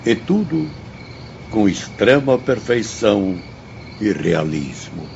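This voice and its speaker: An actor with a Brazilian accent playing a learned, courteous spirit teacher in a radio drama, speaking in a formal, measured, didactic way. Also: male voice, lowish voice, sing-song, gravelly, darkish tone, heavily acted. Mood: solemn, didactic. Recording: dull audio, faint noise, night crickets.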